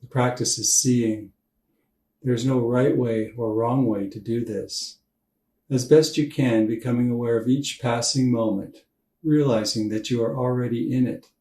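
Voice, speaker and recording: A man guiding a meditation for sleep in a calm, unhurried, gentle instructional manner with long pauses. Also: medium pitch. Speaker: meditation guide. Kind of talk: guided body scan meditation for sleep. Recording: roomy echo, distant from mic.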